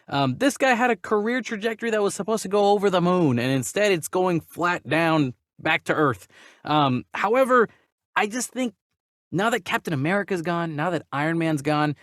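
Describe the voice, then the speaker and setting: A man's voice: sits high, dry recording, studio quality. A man hosting a discussion show, giving opinions casually and emphatically, often repeating phrases as he builds his point. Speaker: host of a movie news show.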